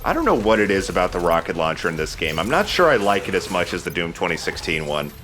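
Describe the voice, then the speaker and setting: Male let's play host: casual, lively intonation, bright tone, slight background noise. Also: low-pitched, fast. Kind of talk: casual gameplay commentary.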